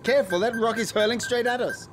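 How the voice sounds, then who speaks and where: An actor playing a decisive, wry spaceship captain in an audio drama. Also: male voice, very high-pitched, wildly swooping pitch, fast talker, full character voice. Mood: wry, alert. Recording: faint noise behind the voice.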